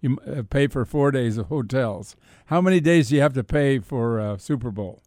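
A man co-hosting sports talk radio, asking casual questions in a halting way. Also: medium pitch, lively intonation, a little mellow, dry recording, studio quality. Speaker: sports talk show co-host.

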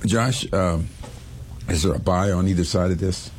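A man's voice: sits low, moderate pace, slight background noise. A man co-hosting a radio interview, asking casual questions.

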